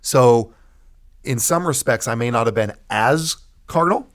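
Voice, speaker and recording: An American man, a pastor, shares his testimony in conversation with an earnest, preacherly delivery and emphatic pauses. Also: mid-range voice, expressive. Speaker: pastor and guest.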